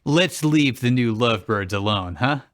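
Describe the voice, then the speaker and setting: A man's voice: mid-range voice, expressive, moderate pace, a bit bright, no room sound. A man co-hosting, reading out character lines and riffing with dry, mocking remarks. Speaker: co-host.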